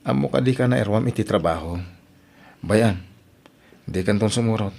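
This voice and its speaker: A man playing a patient young farmer husband in an audio drama, speaking gently and soothingly. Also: fairly low pitch, moderate pace, creaky.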